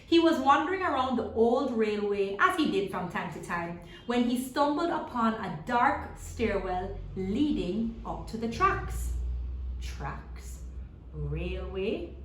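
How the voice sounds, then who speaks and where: A woman reading a children's story aloud expressively, pausing to put simple questions to her young listeners. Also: on the high side, lively intonation, echoey room, a little hiss or hum, poor audio. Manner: asks simple, repeated questions as to small children.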